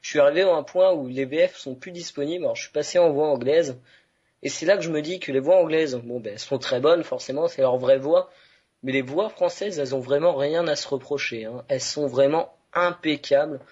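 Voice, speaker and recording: An enthusiastic man hosts a casual podcast in a voice a touch above average pitch. He rambles eagerly with plenty of fillers and restarts. The sound is dull and lo-fi. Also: fairly quick, a little mellow, breathy edge. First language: French. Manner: enthusiastic, chatty, many fillers. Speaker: podcast host.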